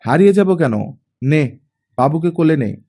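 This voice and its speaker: A man voicing a brisk, easily annoyed mother in an audiobook, questioning and chiding. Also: on the high side, expressive, darkish tone.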